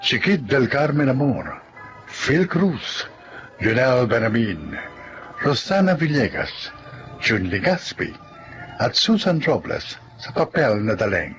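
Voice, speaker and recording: A man reading a drama's moral and closing credits in a solemn, measured way. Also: mid-range voice, fairly bright tone, poor audio. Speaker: narrator. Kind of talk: scripted credits narration.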